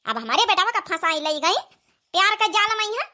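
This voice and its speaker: A woman's voice: extremely high pitch, big pitch swings, normal speed, pushed and bright, heavily acted. A woman playing a strict, scolding village mother-in-law in a cartoon comedy, with an Awadhi accent and a nagging, domineering manner. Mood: grumbling, bossy.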